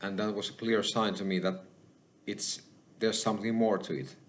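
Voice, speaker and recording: A man with a Finnish accent, hosting his own channel and talking alone to the camera, slow and halting, with many pauses. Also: on the low side, level tone. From Finland. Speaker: channel host. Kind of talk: solo spoken monologue.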